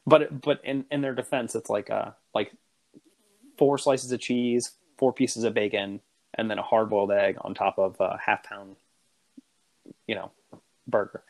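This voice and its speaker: A man's voice: on the low side, steady tone, moderate pace, creaky, long pauses, darkish tone, low-quality recording. A man co-hosting a podcast, chatting casually in an enthusiastic, rambling way that often restarts and corrects itself. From United States. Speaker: podcast co-host. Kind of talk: casual chat.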